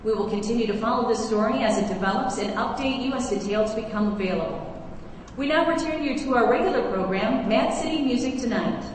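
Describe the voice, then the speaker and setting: A composed radio drama news anchor, played by a woman, delivers formal bulletins in a fairly high voice. The sound is strongly echoed in a hall, with faint noise. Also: a little fast, natural voice. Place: live theater stage.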